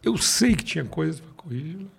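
A man in his sixties with a Brazilian accent, a pastor telling his own story in conversation and slowing down to stress his points. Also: fairly high pitch, lively intonation, vocal fry, breathy edge. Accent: Brazilian Portuguese. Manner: emphatic, slows down to stress points.

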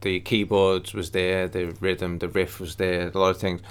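Deep-voiced, low-key man. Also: level tone, moderate pace, clean tone. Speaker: guitarist guest. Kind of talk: casual interview chat.

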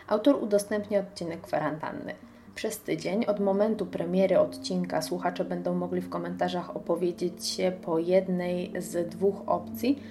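A woman's voice: medium pitch, noisy background. A woman hosting a radio show, presenting a review with her own commentary.

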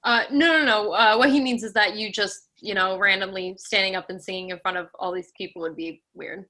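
Casting: woman to play chirpy, slightly awkward female bar-goer for a play reading; crisp bright tone, chatty manner. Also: mid-range voice, brisk, close to an everyday voice.

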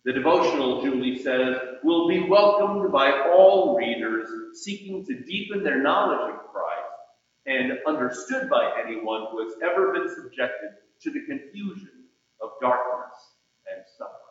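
A male preacher with a fairly high-set voice speaks slowly and deliberately, giving weight to his phrases. He is heard from a distance in a very reverberant room as he delivers his sermon. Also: sing-song. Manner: measured, deliberate phrasing with emphatic pauses. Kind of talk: sermon with read-out quotations. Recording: echoey room, distant muffled mic.

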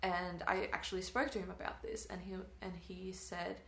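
A young woman with a Swedish accent, Swedish her first language, speaks in a lowish voice. She talks through her thoughts with restarts and little laughing asides. The room around her is echoey. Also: level tone, normal speed, vocal fry, fairly bright tone. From Sweden. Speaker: acting student. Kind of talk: casual interview chat.

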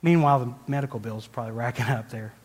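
A man of middling pitch gives a conversational sermon as a guest preacher, telling a personal story. He rambles and restarts often and pokes fun at himself. His voice carries the long reverb of a large church hall. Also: fast talker, darkish tone. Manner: rambling, self-deprecating, frequent restarts and laughs.

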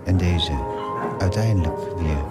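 A deep-voiced ageing hotelier reminisces over loud music. He is wistful and measured, telling his story as a radio drama monologue. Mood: wistful, reflective.

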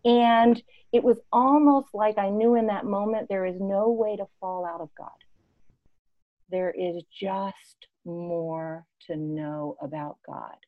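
A woman in her fifties from East Africa, an interview guest telling reflective personal stories in an expressive, emphatic way. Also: medium pitch, slow, phone-quality sound. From born and raised in East Africa.

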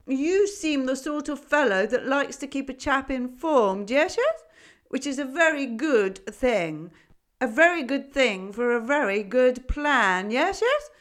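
A woman voicing a lonely, blind old goat with a gruff, cobwebby voice and a fussy way of talking, in a read-aloud storybook. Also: high voice, expressive, unhurried, pitched above the performer's own voice. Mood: scheming. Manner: fussy, keeps asking "yes, yes?". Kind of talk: storybook reading.

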